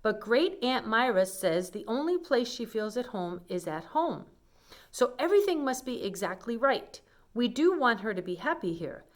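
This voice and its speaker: A woman's voice: on the high side, very animated, normal speed. A woman voicing a busy, polite lady of the house who gives instructions in a children's storybook. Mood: fretful. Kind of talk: children's storybook character reading.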